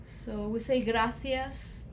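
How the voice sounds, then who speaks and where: A woman giving a rambling philosophical monologue to role players, shifting between soft musing and loud emotional outbursts. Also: a little high, fairly even intonation, takes it slowly, a little hiss or hum. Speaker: speaker addressing role players.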